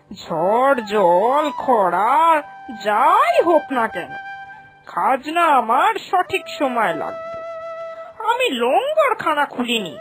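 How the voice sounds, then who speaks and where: A woman's voice: high-pitched, full character voice, low-quality recording. A woman playing a haughty, cruel older landlady in a cartoon folk tale, imperious and threatening. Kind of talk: Bengali animated folk-tale acting.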